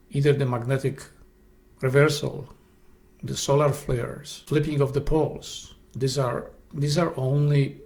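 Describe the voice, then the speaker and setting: A man with a Polish accent, Polish his first language, hosting an esoteric presentation in an earnest, halting way with frequent restarts. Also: mid-range voice, a little mellow. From Poland. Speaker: host.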